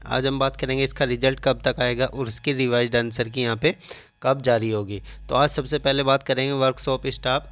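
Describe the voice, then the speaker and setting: A man's voice: medium pitch, fairly bright tone. A man presenting an informational exam update to students, explaining in repetitive phrasing with English terms mixed in. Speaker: host of an exam coaching channel.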